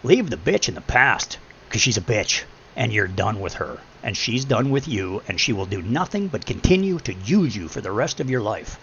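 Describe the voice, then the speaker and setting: A deep-voiced middle-aged man giving blunt, preachy advice in a casual chat, swearing freely. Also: sing-song, moderate pace, fairly bright tone. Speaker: podcast host. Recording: muffled lo-fi with faint hum.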